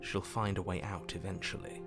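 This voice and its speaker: An actor playing a stern, disdainful narrator of a dark fairy tale, grave and deliberate, in dramatic game narration. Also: male voice, deep voice, steady tone, vocal fry. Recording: music behind the voice.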